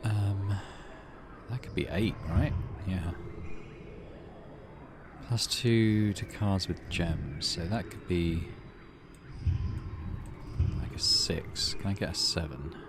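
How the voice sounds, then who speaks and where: A man's voice: low-pitched, slow, pauses often, noisy background. A man hosting a gaming video, mixing casual commentary with reading the game's narration aloud. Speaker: gaming channel host.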